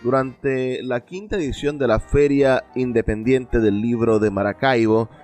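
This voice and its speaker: A Venezuelan man presents a radio program in a medium-pitched voice. His phrasing is deliberate and measured, and music plays softly behind him. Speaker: radio host.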